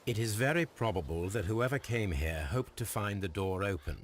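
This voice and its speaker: A low-voiced British Victorian detective, played by a man, shrewd and composed. He speaks formally and deliberately, with lively rises and falls, as in a mystery game. Night insects sound behind him. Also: slight background noise, natural voice. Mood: composed, deductive. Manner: measured, assured, formal. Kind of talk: scripted video game acting.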